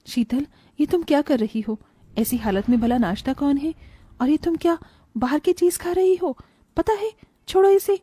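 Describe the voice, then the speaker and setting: A woman voicing a dutiful, gentle young daughter-in-law in an animated moral tale, earnest and caring. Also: high-pitched, normal speed, no room sound, close to an everyday voice. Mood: concerned scolding.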